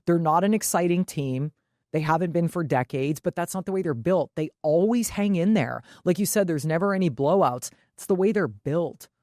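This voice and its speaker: A woman's voice: fairly low pitch, animated, moderate pace, dark tone, dry recording, clean studio sound. A woman co-hosting a sports podcast, chatting casually in a blunt, emphatic way with frequent swearing.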